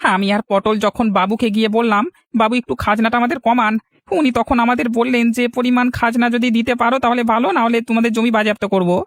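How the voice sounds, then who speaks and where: A man's voice: extremely high pitch, fairly even intonation, brisk, no room sound. A man voicing a humble, plain-spoken village fellow in a cartoon, earnest and fretful. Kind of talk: cartoon dialogue.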